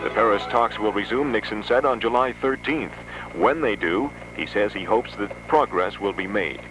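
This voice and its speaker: A man reading a scripted 1970s radio news bulletin as anchor, in a formal, measured announcer delivery. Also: lowish voice, music in the background. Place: radio studio. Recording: noisy old 1970s radio aircheck.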